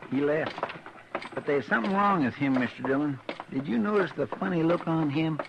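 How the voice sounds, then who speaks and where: A loyal, eager frontier deputy in an old radio western. He talks in a folksy drawl with country grammar, a touch higher than most men. The broadcast is dull and lo-fi, with steady hiss underneath. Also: brisk, vocal fry. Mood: earnest folksy.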